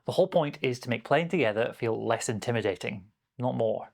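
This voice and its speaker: A man's voice: mid-range voice, expressive, brisk, vocal fry, darkish tone. A man presenting a scripted explainer as a game master, with a playful, emphatic and encouraging delivery. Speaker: game master and video host.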